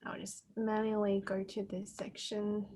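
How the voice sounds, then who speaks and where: A woman's voice: medium pitch, monotone, a little slow, dark tone. Upbeat woman hosting an online event, friendly, medium voice. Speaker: event moderator. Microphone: video call.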